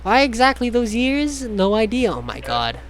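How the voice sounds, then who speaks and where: Streamer reading game text out loud, middling pitch, trips over words, sweary asides, some background noise. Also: big pitch swings, moderate pace. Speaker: streamer reading a visual novel aloud. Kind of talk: casual playthrough, reading game text aloud with joking asides.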